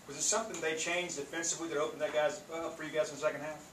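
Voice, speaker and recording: A man's voice: on the high side, level tone, racing, fairly bright tone. A man working as a reporter, asking a question at a press conference. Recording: distant echoey room, faint noise.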